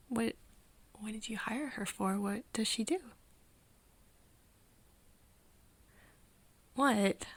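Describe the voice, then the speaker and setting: A woman in an ASMR roleplay playing a nervous, caring friend, speaking softly and haltingly and often slipping into breathy whispers. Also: a little high, dry recording. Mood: curious, coaxing. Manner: hesitant, halting, with pauses and sighs. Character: nervous, caring young woman confiding in her vampire friend. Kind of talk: ASMR roleplay, intimate scripted acting. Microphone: close microphone, dry room.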